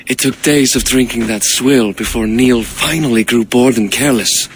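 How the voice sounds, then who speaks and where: Bold Norse ruler for a game, played by a man: gruff, sardonic, middle register, slight noise behind. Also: mid-range voice, moderate pace, lightly acted. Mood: wry command. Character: bold Norse king in Ireland, gruff, sardonic, battle-worn. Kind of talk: cinematic video game acting.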